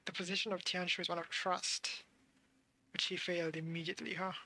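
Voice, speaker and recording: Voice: mid-range voice, level tone, fairly quick, sharp tone, poor audio. A game streamer giving casual playthrough commentary, musing and thinking aloud.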